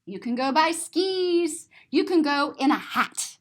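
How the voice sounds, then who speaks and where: Female children's storyteller, high voice, very slow delivery, sing-song and expressive, stretching key words for young listeners. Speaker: children's story reader and teacher. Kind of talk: animated read-aloud picture book storytelling for children.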